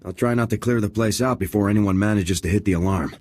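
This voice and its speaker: Male actor, game soldier: lowish voice, rapid clipped delivery, tough and wry. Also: a little mellow, natural voice. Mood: dry, determined. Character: tough, dry-humoured male soldier, military trooper. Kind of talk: video game dialogue.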